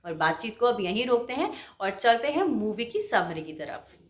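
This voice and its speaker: A woman's voice: a little high, sing-song, normal speed. A woman hosting a channel, giving a scripted video introduction in a roomy, echoey recording. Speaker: channel host.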